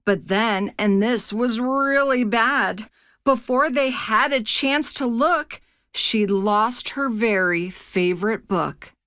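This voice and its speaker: A woman narrating a rhyming children's picture book as a read-aloud host, with a measured, expressive storytelling delivery. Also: a little high, clear, resonant tone, no room sound, clean studio sound.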